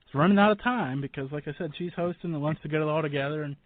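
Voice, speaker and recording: A man hosting a radio show in a casual, rambling, informal way with halting starts. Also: on the high side. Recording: boxy phone-like line with room echo.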